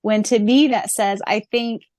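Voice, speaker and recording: A woman's voice: mid-range voice, steady tone, measured pace, a little mellow, no room sound, clean studio sound. A woman co-hosting a podcast, gushing and reading fan answers aloud between her own theories. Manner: bubbly, gushing, theorizing eagerly. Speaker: podcast co-host.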